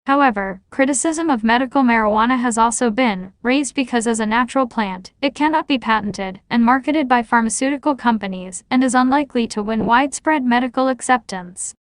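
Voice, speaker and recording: A woman with a fairly high voice reads prepared passages aloud in a scripted style. The sound is very dry, with no room around the voice. She serves as a model reader for test practice. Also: fairly quick, hardly any pauses, no room sound.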